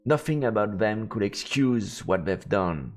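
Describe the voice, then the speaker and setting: A man's voice: fairly low pitch, lively intonation, unhurried, faint music behind. A man streaming a visual novel, reading the narration aloud and adding casual reactions.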